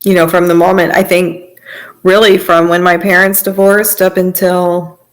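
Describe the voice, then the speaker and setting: A woman speaking as an interview guest, giving casual personal testimony in halting, trailing phrases. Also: fairly low pitch, flat delivery. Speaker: podcast guest sharing testimony.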